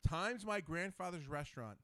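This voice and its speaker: Man, forties, podcast host, casual chat, profane, rambling, lively swinging pitch, dry close sound. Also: normal speed, clear, resonant tone, dry recording. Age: 40s. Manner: loose, profane, rambling storyteller.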